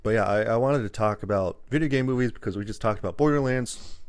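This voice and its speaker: A man's voice: on the low side, fast, clean tone. A man hosting a podcast in a casual, rambling chat, listing things off as he goes. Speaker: podcast host.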